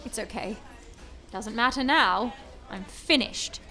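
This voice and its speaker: An actress playing a haughty, wealthy heiress in an audio drama, with formal, imperious diction. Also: female voice, fairly high pitch, slow pace, bright, forceful tone, full character voice. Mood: despairing. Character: haughty, wealthy heiress, indignant over her ruin. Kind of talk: scripted audio drama acting.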